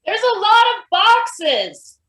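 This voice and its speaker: A woman's voice: very high-pitched, sing-song, takes it slowly, a bit bright, heavily acted. A woman playing a mild, caring maintenance worker in a live play reading, speaking in short, understated lines. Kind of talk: live table read of a satirical play. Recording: noisy, with clicks.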